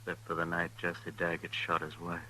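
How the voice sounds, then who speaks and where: A man playing a cold western gunslinger villain in an old radio drama, speaking with deliberate, taunting menace. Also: low-pitched, completely flat delivery, pushed and bright. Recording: faintly noisy old radio recording.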